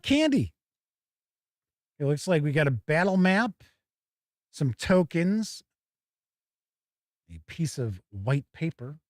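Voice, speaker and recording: A man with a somewhat high-pitched voice hosts a casual live look at tabletop games. He talks in a chatty way, thinking aloud as he goes. The sound is very dry, with no room echo. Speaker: host of a tabletop game review stream. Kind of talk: casual live unboxing commentary.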